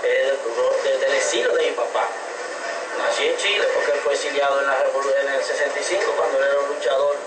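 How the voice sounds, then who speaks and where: A man's voice: mid-range voice, fairly even intonation, quick pace, loud music behind the voice. A middle-aged man from the Dominican Republic with a Dominican Spanish accent, earnestly explaining as an interview guest, often restarting and repeating words. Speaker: interview guest, civic educator. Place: indoor TV set at a table. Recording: echoey, distant, noisy local TV audio.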